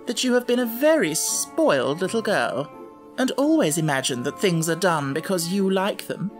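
A man's voice: high-pitched, big pitch swings, normal speed, soft background music, voice pushed up. A man voicing a severe, imposing headmistress for an audiobook, stiff, formal and tartly polite. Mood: sour, disapproving. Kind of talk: audiobook character voice.

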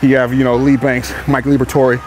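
A man's voice: medium pitch, little pitch movement, fairly quick. Male pro bodybuilder, 30s, candid rambling gym chat, camera mic, music behind. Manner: laid-back, candid, rambling with fillers. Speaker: professional bodybuilder. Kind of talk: casual talk to camera between sets. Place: large indoor gym.

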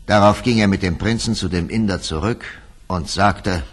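A man's voice: low voice, normal speed. A man narrating a fairy-tale radio play in a scripted, dramatic storytelling manner.

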